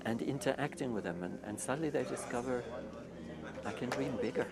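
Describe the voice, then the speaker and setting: A middle-aged or older man with a Swiss accent, talking reflectively and haltingly in an interview style about his own work. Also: mid-range voice, a loud crowd. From Switzerland. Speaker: youth retreat founder. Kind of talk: interview-style personal talk.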